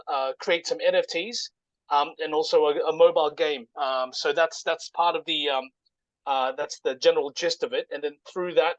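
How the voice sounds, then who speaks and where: A man giving an informal, halting explanation as a guest presenter in casual conversation. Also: on the high side, dry recording, dull audio. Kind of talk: informal project pitch, casual chat.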